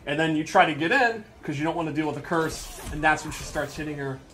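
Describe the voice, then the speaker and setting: A man's voice: fairly high pitch, fast, sharp tone. A man giving informal, emphatic live commentary as he demonstrates a video game on a stream. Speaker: game developer presenter on a livestream.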